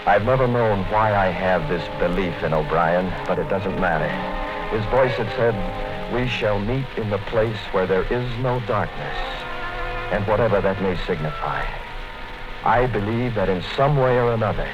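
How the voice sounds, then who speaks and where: A man's voice: fairly low pitch, animated, moderate pace, close to an everyday voice. An actor narrating as a fearful, secretive male office worker writing a diary, brooding in a 1950s radio drama. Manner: tense, brooding inner monologue. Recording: dull-sounding 1950s radio broadcast with music.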